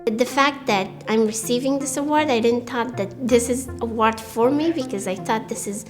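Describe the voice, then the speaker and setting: A woman's voice: on the high side, moderate pace. A young woman from Afghanistan with an Afghan accent, speaking earnestly and spontaneously as a student being interviewed. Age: young adult. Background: music.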